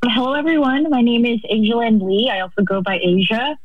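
A woman's voice: on the high side, brisk, no echo. A young woman from California's Central Valley calls in to a radio show as a student guest. She talks about herself earnestly and rambles a little. Her voice comes through a narrow, tinny phone line and sounds dry and close. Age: young adult. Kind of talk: personal introduction on a call-in.

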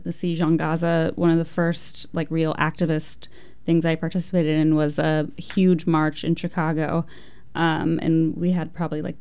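A woman's voice: sits low, mellow, clear, resonant tone. A woman from Ohio answering interview questions in a casual, hesitant way with many fillers. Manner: hesitant, many ums and likes. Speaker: interview guest, activist.

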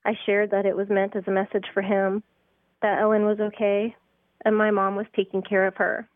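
A woman's voice: mid-range voice, completely flat delivery, normal speed, no echo. A woman reading her own heartfelt personal story from a script, on a slightly lo-fi recording.

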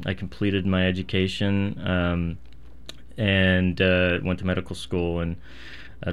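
A man in his thirties from Iran and Pennsylvania, answering interview questions casually and haltingly in a radio studio. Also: on the low side, clear, resonant tone. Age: 30s. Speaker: radio interview guest, physician. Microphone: studio microphone.

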